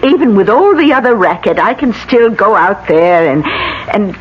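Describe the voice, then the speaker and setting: An actress in a 1970s radio drama playing a genteel, kindly older widow who chatters in a fussy, rambling way. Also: female voice, medium pitch, expressive, normal speed, slightly rough. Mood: chatty, grateful. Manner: fluttery, rambling, solicitous. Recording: noisy, muffled vintage radio broadcast.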